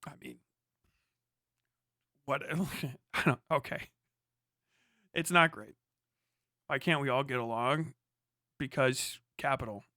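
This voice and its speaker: A man's voice: mid-range voice, takes it slowly, pauses often, pushed and bright, dry recording, clean studio sound. A man hosting a casual livestream, giving blunt, profane, slangy political commentary and talking back to chat. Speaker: livestream host.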